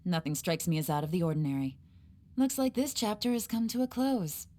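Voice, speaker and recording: A woman's voice: fairly low pitch, very animated, fairly quick, warm tone. A woman voicing a composed, teasing female spy with a wry, slightly menacing manner in a game cutscene. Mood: sly. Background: game music.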